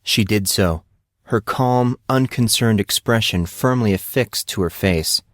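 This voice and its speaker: Low-pitched man narrating a story. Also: unhurried, no room sound, studio quality. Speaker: audiobook narrator.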